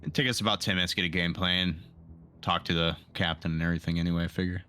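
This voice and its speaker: A man casually describing his character's actions as a tabletop player, halting as he thinks aloud. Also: deep voice, fairly even intonation, pushed and bright, slight background noise.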